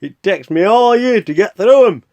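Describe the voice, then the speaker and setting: A high-pitched, swooping, exasperated Santa. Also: male voice, animated, moderate pace, creaky, darkish tone. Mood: weary, amused. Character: exasperated Santa Claus wading through his fan mail. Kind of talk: radio play acting.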